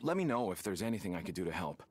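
A man's voice: deep voice, very animated, racing, a little mellow, breathy edge, no room sound, exaggerated voice. A man playing an earnest, formal young newcomer in a video game, speaking politely. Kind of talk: video game character acting.

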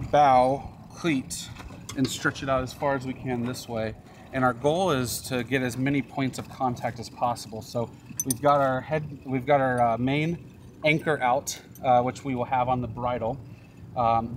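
Man, 30s, mid-pitched boat vlogger, practical step-by-step talk, outdoor wind noise. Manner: practical, talks through each step while working. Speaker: sailing vlog host. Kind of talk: casual explaining on deck. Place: outdoors on a catamaran deck and dinghy.

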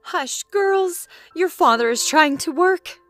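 Devoted wife and mom female actor, high-pitched, gentle and pleading, melodramatic comic dub. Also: expressive, slow, soft background music, heavily acted. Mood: soothing. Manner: tender, pleading. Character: devoted, long-suffering gentle wife and mother.

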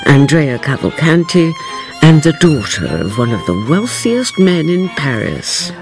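An actress playing a stately storyteller, narrating a radio drama in a measured, formal way over music. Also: female voice, sits low, big pitch swings, exaggerated voice. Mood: grave, portentous. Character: stately woman storyteller addressing honoured listeners. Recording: music bed under the narration.

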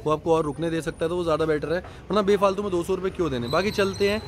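A man's voice: on the high side, quick pace, a little hiss or hum. A young man explaining things casually and repetitively to camera as a travel vlogger. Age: young adult. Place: outdoors by roads and parking, later in a parked jeep. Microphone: phone at arm's length.

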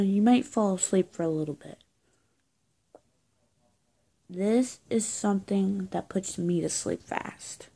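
Slow, husky young woman in witch roleplay. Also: mid-range voice, mellow. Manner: halting, casual, often dropping to near-whisper. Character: friendly young good witch, high-school girl. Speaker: roleplay video creator. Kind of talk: soft-spoken improvised roleplay, addressing the viewer. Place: small indoor room, plain walls. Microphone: phone or webcam at arm's length.

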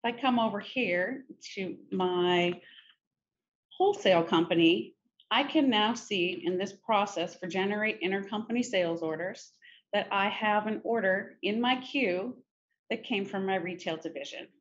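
A middle-aged woman presenting a software demo in a conversational, informal step-by-step tutorial style. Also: mid-range voice, moderate pace. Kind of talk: conversational screen-share tutorial. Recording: muffled, noisy screen-recording audio.

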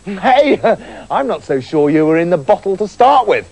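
A man's voice: high voice, very animated, normal speed, a little mellow, pitched above the performer's own voice, full character voice. A man voicing a clever, cheeky young son who outwits a spirit, in a storybook reading. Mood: cunning.

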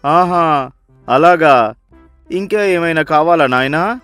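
A man's voice: on the high side, takes it slowly, fairly bright tone, dry recording, soft background music. A man playing a miserly, sly rich husband in a story, with a bossy, scolding, sarcastic manner.

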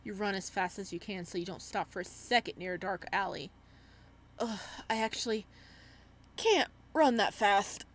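A woman's voice: medium pitch, normal speed. A woman playing a visual novel, reading its text aloud and reacting expressively as she goes. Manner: expressive, animated reactions between read lines. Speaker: streamer, let's-player.

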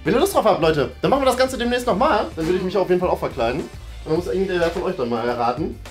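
A young man hosting a game in casual, playful German chat, animated and thinking aloud. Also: sits high, rapid-fire, faint music behind. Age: young adult. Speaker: host and guesser in a group guessing game. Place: bright indoor studio room.

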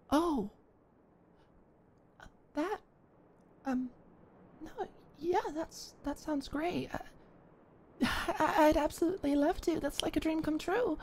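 Shy, stammering young woman, gravelly edge. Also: high voice, a little hiss or hum. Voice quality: soft whispering at times. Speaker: ASMR roleplay performer. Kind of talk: ASMR roleplay, one-sided dialogue.

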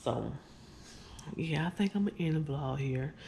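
Tired woman vlogger, gravelly low fry, casual y'all chat, faint room noise. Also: expressive, moderate pace, warm tone. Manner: chatty, tired, addresses viewers as y'all. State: weary. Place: living room, on couch, indoors. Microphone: handheld camera at close range.

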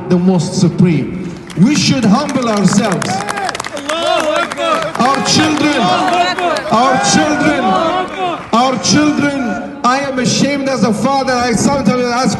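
A man's voice: very high voice, background noise. A middle-aged man, an imam, giving an urgent, preacherly speech at a vigil, speaking into a handheld mic in a crowded outdoor city square. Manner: urgent, preacherly, rises to shouts. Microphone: handheld mic through a PA, recorded from a phone in the crowd. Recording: echoey PA sound from a distance, crowd murmur.

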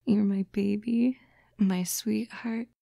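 A woman voicing a doting, teasing fox-girl girlfriend in a soft, breathy, coaxing ASMR roleplay, close to the microphone. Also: mid-range voice, fairly even intonation, no room sound. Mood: soothing. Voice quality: soft, breathy, often whispering. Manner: slow, coaxing, cooing. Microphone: close, dry microphone.

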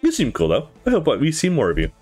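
Man reading as friendly young woman, lively pitch. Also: fast talker, breathy edge, soft background music, full character voice. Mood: chatty, teasing. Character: friendly, easygoing young woman relaxing in a jacuzzi. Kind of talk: visual novel dialogue read aloud.

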